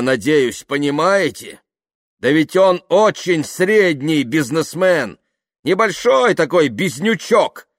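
A male reader plays a pious, wounded monastery novice in an audiobook story. He speaks with measured, theatrical humility that swells into indignant protest, his pitch swinging widely in a close, dry sound. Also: no room sound, close to an everyday voice.

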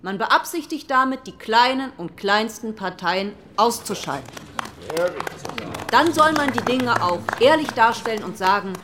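A woman's voice: on the high side, lively intonation, measured pace. Earnest female parliamentary delegate, noisy ambience. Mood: combative. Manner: articulate, insistent, rhetorical. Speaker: actor reading historical debate speeches. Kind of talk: formal political oratory from written transcripts.

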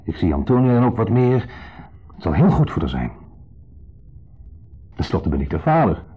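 An actor playing a shabby, self-pitying ex-husband who talks with deliberate, quiet menace in a radio drama. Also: male voice, fairly low pitch, very animated, slightly rough, heavily acted. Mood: smooth, insinuating. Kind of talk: 1970s radio drama acting. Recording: dull 1970s radio play recording.

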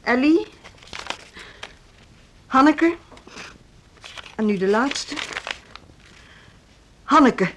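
A businesslike chairwoman in a radio drama. She speaks in a higher-than-average voice, formal and orderly, over faint background noise. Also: lively intonation, very slow, long pauses, fairly bright tone, exaggerated voice. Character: businesslike woman chairing a staff meeting. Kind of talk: naturalistic radio drama acting.

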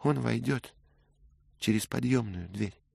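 A man's voice: mid-range voice, measured pace, vocal fry, darkish tone, husky, no echo, voice pushed up, exaggerated voice. A man voicing a brave young woman in disguise, pleading and subdued, in an audiobook. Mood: sad.

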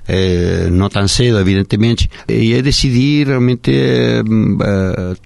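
Man, low and dry. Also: a little slow, creaky, darkish tone, dry recording. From Argentina. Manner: earnest, speaks in long winding sentences. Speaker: radio interview guest, park director. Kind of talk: radio interview.

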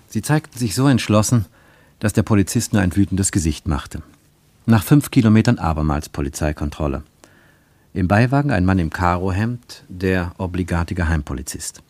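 Radio play narrator, lowish pitch, close dry sound, scripted literary prose, measured, lyrical, declamatory. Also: male voice, lively intonation, a little mellow, no echo. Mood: dramatic, measured. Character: literary narrator of a travel tale.

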